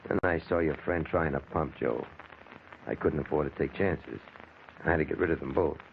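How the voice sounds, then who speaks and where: A man's voice: a bass voice, moderate pace, gravelly. An actor playing a cold, confident racketeer in an old-time radio crime drama, blunt and matter-of-fact in his menace. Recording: lo-fi, noisy old radio recording.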